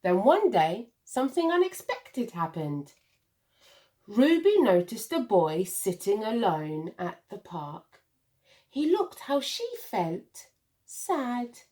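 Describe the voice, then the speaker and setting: Slow, animated woman reading a children's storybook. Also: mid-range voice. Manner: deliberate, dramatic pauses and emphasis for young listeners. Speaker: primary school teacher reading a picture book.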